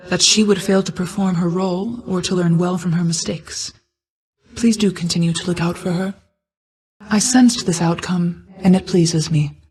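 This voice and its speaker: Woman acting as stately old-as-time night goddess from a video game, kinda low, formal and measured, reserved but caring mom, very solemn. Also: darkish tone, lightly acted, rough recording. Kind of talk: video game character dialogue.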